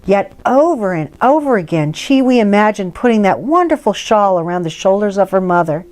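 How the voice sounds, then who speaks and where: A woman's voice: medium pitch, very animated, normal speed, a little mellow. A woman reading a scripted story aloud as a storyteller.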